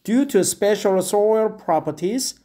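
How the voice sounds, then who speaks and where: A man reads aloud at a very slow, halting pace. His voice is fairly high but dark and mellow. He keeps repeating phrases and tripping over hard words. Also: animated. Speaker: English learner reading aloud. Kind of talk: reading a web article aloud with asides.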